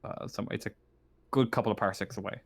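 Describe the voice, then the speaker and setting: A man running a casual online tabletop game as game master, narrating and ruling in a halting, hesitant way. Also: on the low side, fairly even intonation, gravelly, a bit bright, dry recording.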